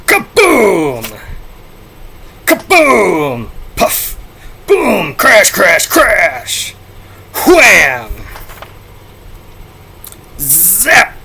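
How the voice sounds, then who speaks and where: A man's voice: high-pitched, huge pitch swings, very slow, vocal fry, pauses often, pushed and bright, slight background noise, voice pushed up, exaggerated voice. A man playing an over-dramatic, hammy reader of comic-book sound effects in explosive exclamations. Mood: bombastic, explosive.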